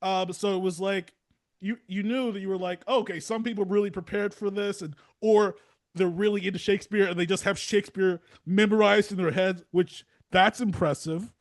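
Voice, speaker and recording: High-voiced man, rambling casual podcast host. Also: steady tone, normal speed, no room sound. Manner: rambling, informal, slangy. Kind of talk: casual interview chat.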